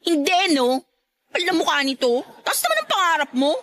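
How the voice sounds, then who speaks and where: A high-pitched, irritable young Filipino woman who hides her affection. She speaks bluntly, with a scolding, exasperated edge. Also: very animated, normal speed, a bit bright. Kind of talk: Tagalog radio drama acting.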